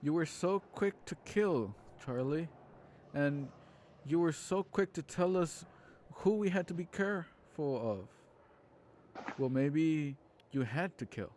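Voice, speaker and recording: A man playing a suspicious neighbour in a radio play, confronting someone with pointed, deliberate accusations. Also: fairly high pitch, lively intonation, a little mellow, a little hiss or hum. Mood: accusing. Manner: slow, pointed delivery.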